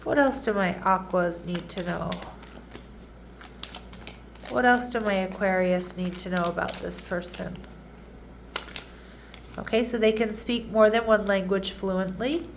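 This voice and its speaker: A woman with a stuffy, nasal voice talks through a tarot reading in a relaxed, chatty way, often checking in with her listeners. Her pitch sits in a middle range for a woman, and a faint hiss of background noise runs under her voice. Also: a little slow, long pauses, low-quality recording. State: congested, nasal. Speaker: tarot reader. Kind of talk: casual tarot reading monologue.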